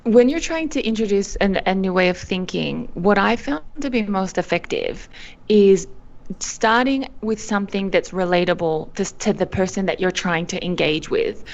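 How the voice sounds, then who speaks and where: A young woman from Australia answering interview questions, animated, with frequent fillers and restarts. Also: medium pitch, moderate pace, highs cut off. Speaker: guest, company founder. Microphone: video call.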